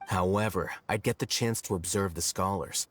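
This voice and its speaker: A man playing a coolly analytical, self-assured male scribe in a video game, deadpan and precise. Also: sits low, normal speed, noisy background, close to an everyday voice.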